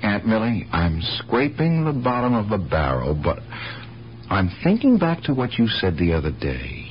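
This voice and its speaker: A man's voice: lowish voice, huge pitch swings, moderate pace. A man playing an earnest, persistent police detective in a radio drama, probing and hesitant as he thinks aloud. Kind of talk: naturalistic radio drama acting. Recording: dull, hissy 1970s radio broadcast.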